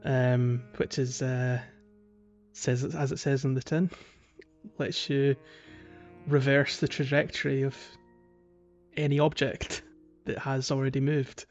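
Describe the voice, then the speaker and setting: A man with a mid-pitched voice co-hosts a relaxed gaming podcast. He explains in a halting, self-correcting way, with music playing behind him. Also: dull audio. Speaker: podcast co-host. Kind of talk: casual video game podcast discussion.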